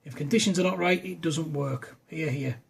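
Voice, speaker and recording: A man's voice: fairly high pitch, normal speed, creaky. A man chatting casually as an amateur radio operator, demonstrating his equipment in an informal, conversational way. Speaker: amateur radio operator filming a demo video.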